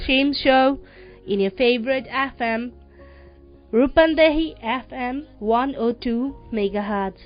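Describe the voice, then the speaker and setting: High-voiced Nepali-accented woman storyteller over music. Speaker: children's radio show host and storyteller. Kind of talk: read-aloud children's storytelling, radio presenting. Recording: dull lo-fi radio audio with music.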